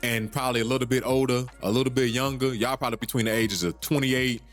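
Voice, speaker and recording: A man hosts a solo podcast at a mid pitch. He presses his points in an urgent, preachy way, repeats himself and swears casually. Faint music plays behind him. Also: fairly even intonation, quick pace. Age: 30s. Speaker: podcast host.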